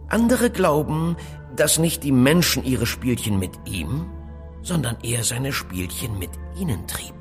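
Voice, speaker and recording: An actor playing an evasive, cautious phone inventor in an audio drama, speaking politely and deliberately, with rhetorical questions. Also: male voice, mid-range voice, sing-song, soft background music. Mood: cryptic, reflective. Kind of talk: German audio drama dialogue acting.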